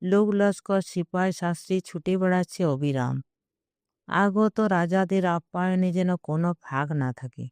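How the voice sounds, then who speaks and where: Slow, mellow woman narrating a story. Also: lowish voice, smooth, dry recording. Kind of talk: scripted first-person literary narration.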